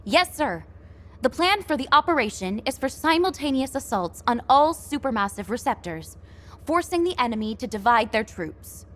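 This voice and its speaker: A woman's voice: sits high, sing-song, moderate pace, a bit bright. A woman playing an earnest young military operations officer in a sci-fi video game, speaking formally and precisely. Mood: composed, serious. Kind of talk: video game briefing dialogue.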